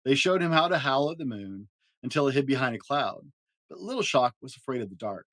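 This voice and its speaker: A man reads a picture book aloud in an expressive storytelling style. His pitch moves around freely for drama, and the sound is dry, with little room in it. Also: brisk, dry recording. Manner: animated, dramatic delivery. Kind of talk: expressive children's story narration.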